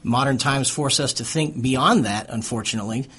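A man's voice: medium pitch, moderate pace, a bit bright, breathy edge. A middle-aged American man from Pittsburgh co-hosting a radio talk show, speaking fluently and articulately in a conversational style. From Pittsburgh, USA. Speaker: radio co-host, physician.

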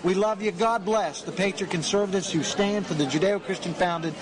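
Loud, preachy male host with a fairly high voice, recorded amid a crowded cafe. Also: fairly quick. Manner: fervent, preachy, urging listeners. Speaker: talk show host. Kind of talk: opinionated political commentary. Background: cafe crowd chatter.

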